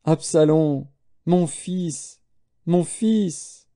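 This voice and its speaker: Guy reading as a heartbroken king wailing for his son, bible audiobook, really dark voice, dry sound. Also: on the high side, extremely slow, no echo, pitched above the performer's own voice. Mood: anguished grief. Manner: lamenting, repeating his cries. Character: grieving king mourning his dead son.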